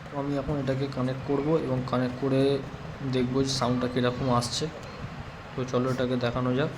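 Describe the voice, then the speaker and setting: A man's voice: mid-range voice, level tone, fairly quick, noisy background. A man giving a casual hands-on product demonstration as a vlogger, explaining plainly step by step. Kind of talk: casual hands-on tutorial narration.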